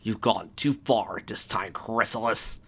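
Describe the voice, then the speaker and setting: A man's voice: on the low side, unhurried, vocal fry, a bit bright, breathy edge, heavily acted. A man voicing a furious, vengeful male chaos creature in a story reading, with emphatic, threatening delivery.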